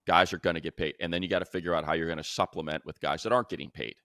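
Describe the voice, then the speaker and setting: A man's voice: deep voice, steady tone, very fast, fairly bright tone, dry recording, studio quality. A man co-hosting a sports show, giving unscripted analysis and repeating phrases for emphasis. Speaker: sports show co-host and commentator.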